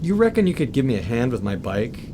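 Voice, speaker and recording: An actor playing a wary, stranded motorcyclist with a hesitant, colloquial way of talking in an audio drama. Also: male voice, mid-range voice, big pitch swings, fast talker, background noise, natural voice. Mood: wary, probing. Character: wary, stranded male motorcyclist, an air-conditioner repairman. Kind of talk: full-cast audio drama acting.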